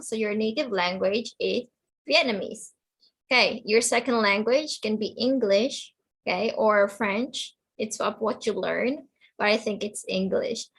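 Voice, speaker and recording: Woman, English teacher, fairly high voice, online lesson, simple phrasing, repetitive explanations, checks understanding. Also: a bit bright. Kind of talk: online lesson, explaining words and questioning students.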